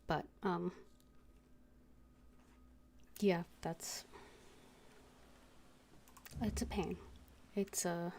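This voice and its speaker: A woman game streamer chatting casually to viewers in a hesitant, halting way. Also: on the low side, steady tone, gravelly, a little mellow. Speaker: game livestreamer. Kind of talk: casual livestream chat.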